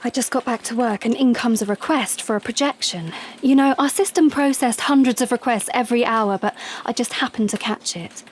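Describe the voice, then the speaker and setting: A woman's voice: a little high, a little fast, hardly any pauses, natural voice. An actress playing an anxious, well-meaning young woman in an audio drama, speaking in an earnest, hesitant way. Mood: tense, confiding. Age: young adult. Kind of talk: audio drama monologue and dialogue.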